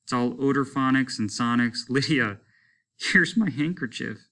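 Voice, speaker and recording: A man playing a calm, amused husband. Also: medium pitch, a little slow. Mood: reassuring. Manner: soothing, lightly amused. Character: calm, reassuring middle-aged husband. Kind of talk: classroom read-aloud.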